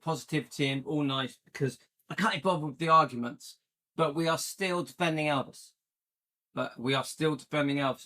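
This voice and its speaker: A middle-aged man, hosting a casual live stream and chatting with viewers, rambling with a playful edge. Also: sharp tone. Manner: chatty and rambling, jumps into a higher playful pitch when joking. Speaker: YouTube channel host.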